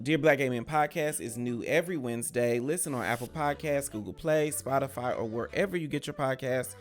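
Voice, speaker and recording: A young man speaks in a relaxed, candid way about his own experiences, as the host of his show. His voice is gravelly and sits at a middle pitch. He often pauses and fills gaps with "um". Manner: candid and conversational, with frequent "um"s. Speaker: podcast host. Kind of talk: casual chat to camera.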